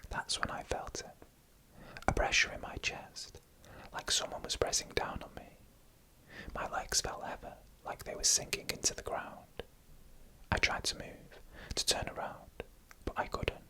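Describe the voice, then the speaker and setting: A person narrating eerie stories as ASMR in a hushed, deliberate whisper, close to the microphone. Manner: slow, hushed, deliberate storytelling in an unvoiced whisper. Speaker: ASMR storyteller.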